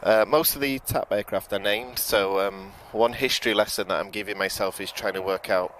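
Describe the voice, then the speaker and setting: A man with a British accent giving relaxed live commentary outdoors at an airport, chatting to viewers with frequent ums and self-corrections. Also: lowish voice, normal speed, a little hiss or hum, poor audio. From United Kingdom. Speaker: live plane-spotting commentator. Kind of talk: live-stream commentary, reading out viewer chat.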